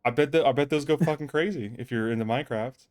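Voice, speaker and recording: A man's voice: mid-range voice, fast, darkish tone. A man streaming a game and chatting casually with teammates, rambling and swearing freely. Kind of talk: casual gaming chat.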